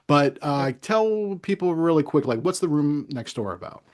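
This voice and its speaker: A man hosting a casual interview in an informal, chatty way with halting phrasing. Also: a little high, sing-song. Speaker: interview host.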